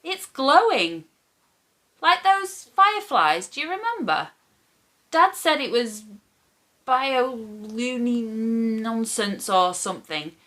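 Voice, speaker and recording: A woman voicing a curious, excitable young boy in a children's storybook, eager and wide-eyed. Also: a little high, takes it slowly, pitched above the performer's own voice, heavily acted. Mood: excited, curious. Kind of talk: children's storybook character reading.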